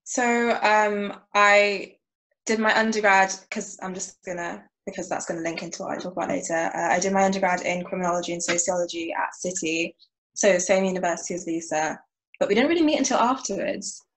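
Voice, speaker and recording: A woman's voice: medium pitch, fairly quick, fairly bright tone, rough recording. A woman, introducing herself casually and ramblingly as a panel guest. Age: 20s. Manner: informal, rambling.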